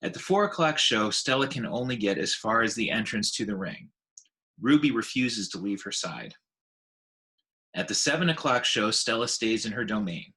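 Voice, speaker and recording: A man reading a children's novel aloud in an expressive storytelling manner. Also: lowish voice. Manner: expressive, with voiced dialogue.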